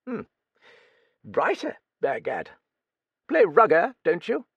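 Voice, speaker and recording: A man's voice: sits high, huge pitch swings, slow, vocal fry, slightly rough, dry recording, narrow, tinny audio, voice pushed up, heavily acted. A man reading an audiobook, voicing a blunt, hearty old woman who swears freely and talks with cheeky bluster. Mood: bluff, gossipy. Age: older.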